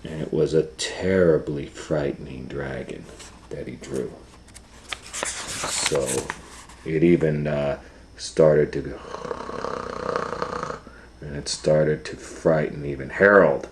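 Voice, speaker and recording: A man's voice: a bass voice, fairly even intonation, slow pace, long pauses, background noise. A man reading a children's storybook aloud as a storytime host, retelling it informally with musing asides. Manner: improvised asides and musing hums.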